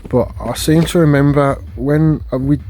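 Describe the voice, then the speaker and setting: A man's voice: steady tone, normal speed, warm tone. A man, rambling through a casual haul video with many fillers and restarts, recorded on a phone at home. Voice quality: low voice. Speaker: video collector vlogger. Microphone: phone camera.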